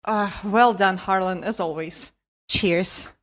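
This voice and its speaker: A woman's voice: mid-range voice, slow. A woman recording a listener voice message with reflective commentary for a podcast. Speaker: listener sending in a voice submission.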